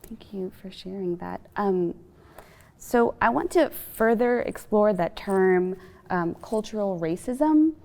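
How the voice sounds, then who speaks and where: A woman hosts a panel discussion, setting up each topic and putting questions to her guests. Her voice sits at a mid pitch and rings in the long reverb of a large reading room. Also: lively intonation, takes it slowly, darkish tone. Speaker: host.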